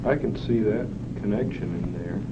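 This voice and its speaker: A man's voice: fairly low pitch, normal speed. Hesitant man on an old hissy film track. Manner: hesitant, restarting with "I mean". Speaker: test participant. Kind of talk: unscripted answers in an experiment. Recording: dull, hissy 1940s film soundtrack.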